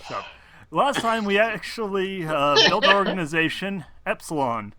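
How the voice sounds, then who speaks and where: A man's voice: high-pitched, expressive, normal speed, a crowd in the background. A man acting as game master in a casual online tabletop session, prone to swearing. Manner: foul-mouthed.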